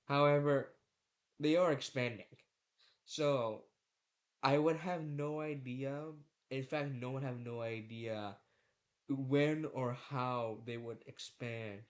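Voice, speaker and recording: A man's voice: mid-range voice, slow pace. A man giving casual, rambling opinion commentary as a video creator, speaking informally with slang and some swearing. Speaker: video creator ranking horror series.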